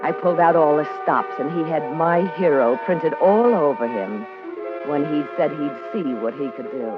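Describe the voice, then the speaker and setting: A woman's voice: low-pitched, expressive, normal speed. An actress playing a brazen, scheming, slangy underworld woman in an old-time radio crime drama. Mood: hard-boiled narrating. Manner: brassy, defiant, slangy. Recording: dull lo-fi old radio recording with music.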